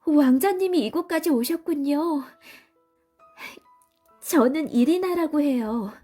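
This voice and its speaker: A woman voicing a gentle, shy young storybook princess with a sweet, delicate voice. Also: high-pitched, slow pace, faint music behind, voice pushed up. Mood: friendly, sweet.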